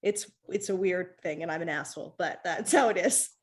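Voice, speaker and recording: A woman's voice: on the low side, a little fast. A woman, a podcast guest and comedian, talking casually and rambling with restarts and frequent laughter. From North America. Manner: rambling, animated, laughs often, many restarts. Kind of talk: casual conversation.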